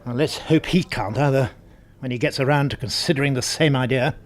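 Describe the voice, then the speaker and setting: An actor playing a droll, matter-of-fact British best friend in a radio drama, chummy and wry. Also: male voice, medium pitch, brisk, lightly acted. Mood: wry certainty. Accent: British English. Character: droll, dry pub-going best friend of the hero.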